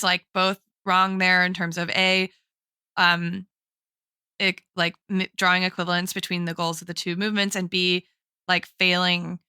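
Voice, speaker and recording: A woman's voice: on the low side, sharp tone, smooth, dry recording, studio quality. A woman co-hosting a podcast, talking through arguments in an academic way, with frequent "like" and "right" as she goes. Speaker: podcast co-host. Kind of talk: analytical discussion.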